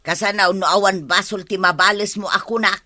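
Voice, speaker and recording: A woman's voice: mid-range voice, fairly even intonation, brisk, bright, forceful tone, natural voice. An actress playing a fed-up village wife who scolds her hot-tempered husband, in a radio comedy drama. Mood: exasperated. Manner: scolding, exasperated.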